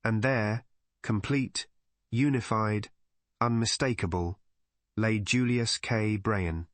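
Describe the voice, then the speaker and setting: A man's voice: fairly low pitch, takes it slowly, no room sound. A man reads a literary detective story as a scripted audiobook narration.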